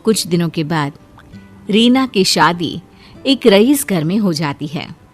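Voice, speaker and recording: Woman narrator, cartoon storybook, lowish voice, steady clear telling, soft music. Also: slow.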